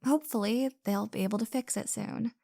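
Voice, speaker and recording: A woman's voice: fairly high pitch, fairly quick, gravelly, warm tone, no echo, clean studio sound. A woman acting a caring, flustered young woman with a crush in an intimate roleplay audio drama, speaking hesitantly and earnestly with stammers. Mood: sympathetic, worried.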